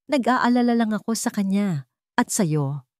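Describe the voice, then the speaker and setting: A woman's voice: medium pitch, animated, unhurried, no echo, clean studio sound, voice pushed up, lightly acted. Scheming Filipino female cousin, hesitant and falsely worried, voiced by a woman. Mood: feigned worry. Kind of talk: storybook character dialogue.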